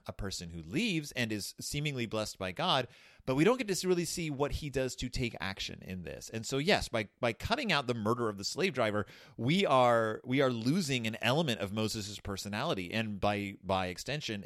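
A man retelling a Bible story in a loose, irreverent way, his pitch rising and falling with energy. He pauses and restarts often, and the recording is dry. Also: dry recording. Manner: animated, irreverent, halting. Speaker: commentator.

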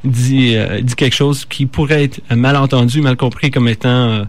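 A man hosts an informal radio show in a Canadian French accent. His delivery is halting and unscripted. He often stumbles over words, backs up and corrects himself. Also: mid-range voice, steady tone. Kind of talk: informal live radio talk.

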